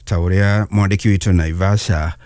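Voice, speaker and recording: A man reads the radio news in a low, mellow voice. His tone is smooth and resonant, and the delivery is measured and formal. The studio sound is dry and close. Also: steady tone, no echo. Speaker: news anchor. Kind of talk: scripted news reading.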